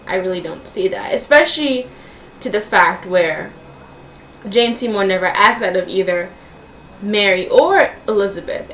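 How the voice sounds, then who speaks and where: Woman talking casually in an echoey room. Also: mid-range voice. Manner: earnest, opinionated, halting with self-corrections. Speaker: vlogger posing opinion questions to viewers. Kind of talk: casual opinion vlog talk. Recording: roomy echo, distant mic, faint hiss.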